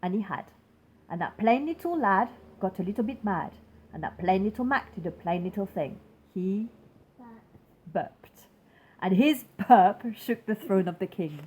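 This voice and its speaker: A woman reading a rhyming storybook aloud to children in an expressive story-time style. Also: medium pitch, a little slow, breathy edge.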